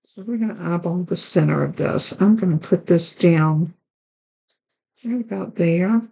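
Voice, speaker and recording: A woman with a raspy, hoarse voice hosting a card-making tutorial, chatting along as she works through each step. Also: fairly low pitch, a little slow, warm tone, rough recording. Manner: chatty, talks while working with her hands. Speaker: card-making channel host.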